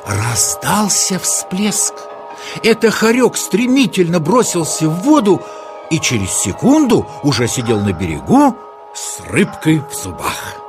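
A man narrating a children's story as storyteller, with expressive, dramatic delivery. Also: a little high, unhurried, over music.

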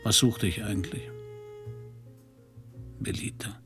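An actor playing a brooding, hard-drinking detective with a gravelly voice and a dry, sardonic manner in a noir radio drama. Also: male voice, fairly low pitch, fairly even intonation, slow, music in the background.

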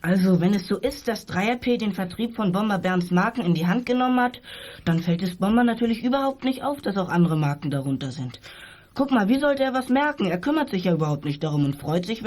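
A woman's voice: medium pitch, expressive, fairly quick, darkish tone, close to an everyday voice. A female actor playing a self-assured young detective who explains things in a children's audio play. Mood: confident.

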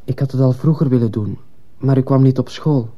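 An earnest schoolboy in a radio drama, played by a man, confiding in his teacher. The voice is middling in pitch and very dark and muted in tone. He sounds respectful and fearful, and a little hesitant. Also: a little fast, natural voice.

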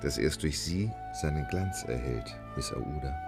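An actor playing a composed, courteous English gentleman traveller in a German audio drama, speaking formally and precisely. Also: rumbling low, lively intonation, measured pace, gravelly, over loud music, heavily acted. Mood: gallant. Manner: formal, polite, unflappable, precise.

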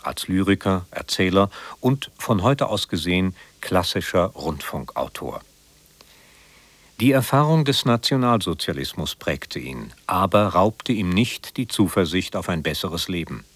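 A man reading a scripted biographical introduction as a radio presenter, in a measured, formal manner. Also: low-pitched, no room sound.

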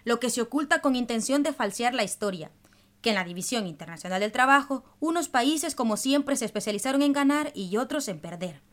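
A woman's voice: a little high, expressive, fairly quick. A woman hosting a radio programme, explaining things in a didactic, scripted way. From El Salvador. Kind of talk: scripted radio presentation in dialogue.

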